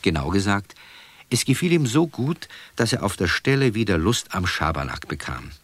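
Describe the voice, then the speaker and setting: Very deep, lively storytelling man. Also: moderate pace, husky, no echo. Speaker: narrator. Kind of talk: scripted children's story narration.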